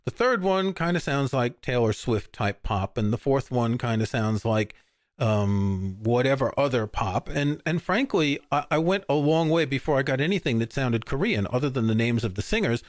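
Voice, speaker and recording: A man's voice: mid-range voice, moderate pace, no room sound, studio quality. An older man, co-hosting a podcast, chatting casually about music with frequent restarts. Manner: relaxed, rambling, many restarts. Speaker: podcast co-host. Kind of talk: casual chat.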